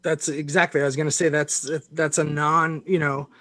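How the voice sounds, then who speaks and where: Halting man with a dry, close sound. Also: on the high side, little pitch movement, sharp tone, dry recording. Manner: halting, peppered with "you know". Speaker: interviewer, host. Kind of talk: casual conversational interviewing.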